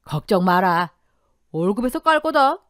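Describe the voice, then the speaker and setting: Woman acting as older man, gruff father-in-law, firm, caring, blunt, lively intonation, radio drama, dry sound. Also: mid-range voice, a little slow, no room sound. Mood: generous, caring. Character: gruff but warm-hearted older father-in-law, a restaurant owner.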